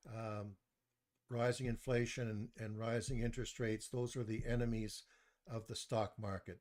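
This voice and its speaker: A soft-spoken man giving a live online stock market review into a desktop mic, measured, with frequent pauses and restarts. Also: medium pitch. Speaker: presenter of a stock market webinar. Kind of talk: live online presentation, reading chat comments.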